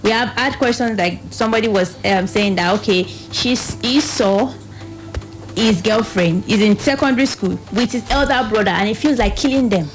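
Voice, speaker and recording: A woman's voice: medium pitch, normal speed, soft background music, low-quality recording. A woman with a Nigerian accent speaking as a guest on a radio panel, explaining earnestly and often voicing other people's questions as she goes. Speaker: guest from a youth reproductive health organisation.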